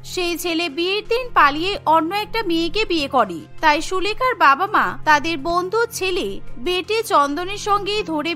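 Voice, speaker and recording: A woman's voice: very high voice, pushed and bright. A woman narrating a scripted cartoon story.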